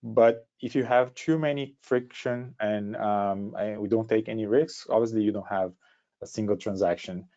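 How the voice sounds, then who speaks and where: A man with a Brazilian accent presenting an informal webinar in a halting way, often repeating words as he goes. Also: fairly low pitch, steady tone, warm tone. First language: Portuguese. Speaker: startup co-founder giving a presentation. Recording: dull, lo-fi online call audio.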